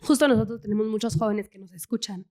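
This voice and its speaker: A woman's voice: mid-range voice, steady tone, quick pace, a little mellow. A woman hosting an interview, asking short questions and giving brief responses. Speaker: interviewer, host. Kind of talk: casual interview conversation.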